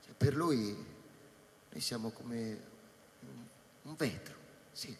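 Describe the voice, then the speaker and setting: An actor playing a sober, probing space-station colleague in a radio drama, with deliberate, halting phrases. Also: male voice, mid-range voice, slight background noise. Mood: detached. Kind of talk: theatrical radio drama acting. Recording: echoey hall reverb under loud music. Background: live electronic and flute music.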